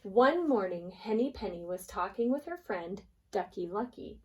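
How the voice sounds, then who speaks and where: A woman's voice: mid-range voice, expressive, normal speed, slight background noise, rough recording. A woman preschool teacher reading a storybook aloud for young children, explaining things expressively as she goes.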